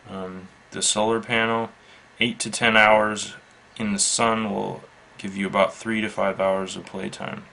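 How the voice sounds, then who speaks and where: Low, gravelly man in flat monotone. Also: rough recording. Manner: matter-of-fact, halting, measured. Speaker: product reviewer. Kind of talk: casual unscripted product demonstration. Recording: faint background noise.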